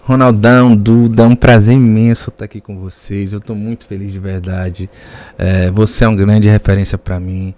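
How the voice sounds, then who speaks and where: Man, Bahian Brazilian accent, lowish pitch, podcast guest, conversational storytelling. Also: little pitch movement, moderate pace, dark tone, no echo. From Salvador area, Bahia, Brazil. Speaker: podcast guest, personal trainer.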